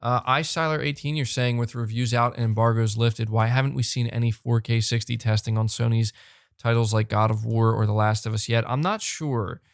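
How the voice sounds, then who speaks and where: A young man hosting a live podcast, talking fast and animated, repeating words when fired up and losing his voice. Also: mid-range voice, no room sound, clean studio sound. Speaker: podcast host reading super chats. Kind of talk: excited live-stream chat. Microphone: close desk mic.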